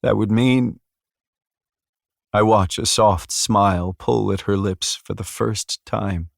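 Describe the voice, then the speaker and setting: A man narrates a fantasy audiobook in a low voice with plenty of gravel. His reading is scripted and unhurried, captured in a very dry recording. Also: fairly even intonation, dry recording.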